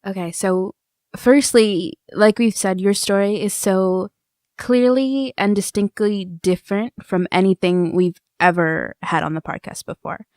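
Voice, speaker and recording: A woman's voice: mid-range voice, a little slow, a little mellow, no echo, studio quality. A woman co-hosting a podcast, giving earnest, effusive feedback in a conversational way and piling up descriptions. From immigrant to the US. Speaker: podcast co-host.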